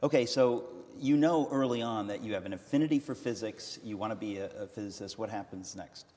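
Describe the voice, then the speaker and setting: A man's voice: fairly low pitch, brisk, a little mellow, in a large hall, slight background noise. A man hosting an interview, asking questions with frequent restarts and a playful, teasing humor. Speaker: interviewer, host. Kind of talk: conversational interview.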